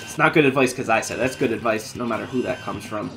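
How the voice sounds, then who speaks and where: A man live-streaming a video game, chatting casually with viewers while he plays, his voice going hoarse from a long session. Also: fairly low pitch, fast, music in the background. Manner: chatty, thinking aloud while playing. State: losing his voice.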